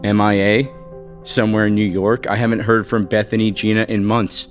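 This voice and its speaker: Guy acting a defiant rich heir in a soap drama, lowish voice, curt, bit of music under it. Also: level tone, normal speed, natural voice. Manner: curt, dismissive. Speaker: actor. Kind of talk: audio soap opera acting.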